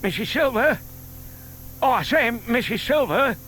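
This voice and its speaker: Shy lovestruck British older gentleman, high animated voice, polite old-fashioned phrasing, audio play. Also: a little slow, slight background noise, heavily acted. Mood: polite, eager. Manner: polite, old-fashioned, eager, muttering to himself. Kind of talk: audio drama acting.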